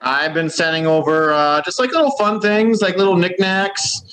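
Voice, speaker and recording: A man doing a deadpan comedy bit in an exaggerated Southern US drawl, from West Virginia. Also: high voice, normal speed, low-quality recording. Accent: put-on Southern US drawl. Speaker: comedy correspondent.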